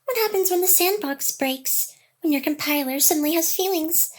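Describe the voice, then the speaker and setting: A woman's voice: very high-pitched, moderate pace. A woman playing a playful AI hacker girl in an intimate ASMR roleplay, teasing and mock-aloof while secretly smitten and protective of the listener. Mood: teasing, feigned indignation. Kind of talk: ASMR roleplay, intimate one-sided scripted drama.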